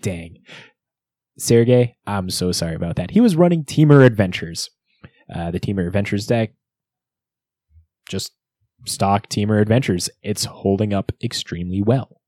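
A man with a lowish, relaxed and mellow voice, co-hosting a podcast. He talks casually and jokes as he goes through a recap. The sound is close and dry. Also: normal speed, long pauses, dry recording. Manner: jokey, bantering. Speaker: podcast co-host.

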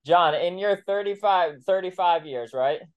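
Male interviewer, casual. Raised register, slow delivery, on slightly lo-fi audio. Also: high voice, clean tone. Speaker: podcast host asking questions.

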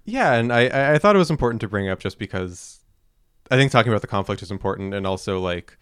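A man co-hosts a casual podcast, reading from notes and then chatting with plenty of fillers and restarts. His voice sits a little low and comes through smooth and clear. The sound is dry and close to the mic. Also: animated, quick pace, a bit bright, no echo. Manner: hesitant, many fillers and restarts. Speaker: podcast co-host.

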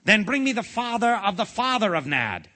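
A man voicing a bossy, excitable, blustering storybook king who bellows his commands. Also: high voice, normal speed, voice pushed up. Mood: exasperated, bellowing.

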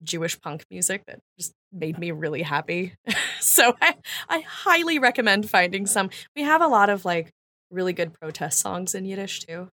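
A woman co-hosting a podcast in casual, expressive and slightly halting conversation. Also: on the low side, no room sound. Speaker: podcast co-host. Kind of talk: casual chat.

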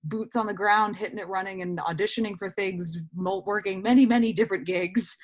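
A woman in her twenties chatting casually as an interview guest, talking in a reflective, think-out-loud way. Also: medium pitch, steady tone, fairly quick. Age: 20s.

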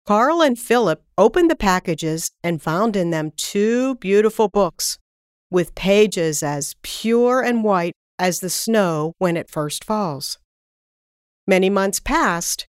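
Clear-voiced woman reading a children's story. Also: mid-range voice, takes it slowly, no room sound, clean studio sound. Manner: careful, expressive storytelling. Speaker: story reader. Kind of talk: scripted children's story narration.

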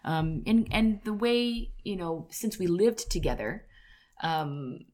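A woman in her forties talking casually as a guest, relaxed and chatty, with plenty of fillers and asides. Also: on the low side, slow. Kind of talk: casual conversation.

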